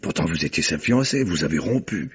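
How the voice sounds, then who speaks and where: Very low-voiced, probing detective. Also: male voice, lively intonation. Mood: insistent. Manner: measured, formal, inquisitive. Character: probing, courteous male police detective, persistent questioner. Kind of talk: old French radio mystery drama acting. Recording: muffled vintage radio recording.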